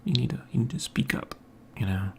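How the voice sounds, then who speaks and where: Quiet gravelly-voiced man talking softly. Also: mid-range voice, a little fast, whispered. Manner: quiet, soft-spoken, mumbling. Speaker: podcast host. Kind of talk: casual morning monologue. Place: home studio room. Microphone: hanging overhead mic.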